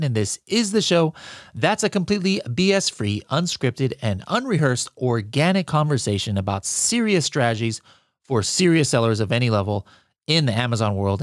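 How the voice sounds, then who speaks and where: A man's voice: medium pitch, dry recording, clean studio sound. A man hosting a podcast interview, upbeat and jokey, with frequent fillers. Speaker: podcast host. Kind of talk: casual interview chat.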